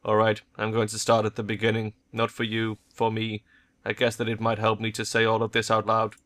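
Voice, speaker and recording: A man voicing a cold, guarded cyborg woman in a cyberpunk game, in a cool, detached monotone. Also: fairly low pitch, fairly quick, natural voice. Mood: reluctant.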